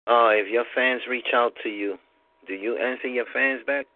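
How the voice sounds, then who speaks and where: A man hosting an interview, asking casual questions in a halting way with many ums and restarts. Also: medium pitch, no echo. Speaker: interview host. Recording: dry, tinny phone-like sound.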